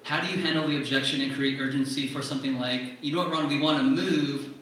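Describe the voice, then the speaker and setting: A man from Southern California asking a question from the audience at a seminar, quick and direct. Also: medium pitch, steady tone, fairly bright tone. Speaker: real estate agent in the audience. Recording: echoey hall, far from the mic.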